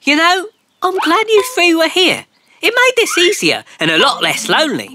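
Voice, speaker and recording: A woman voicing a friendly, hurried green alien in a children's cartoon, quick and excitable. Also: extremely high pitch, music in the background, exaggerated voice. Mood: explaining. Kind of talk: cartoon voice acting.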